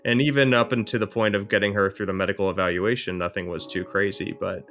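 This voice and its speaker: Man playing a low-voiced hesitant foster dad. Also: steady tone, fast, fairly bright tone, soft background music. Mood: explaining. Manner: tentative, explanatory. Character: hesitant, reasonable foster father explaining his child's case. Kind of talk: improvised role-play conversation.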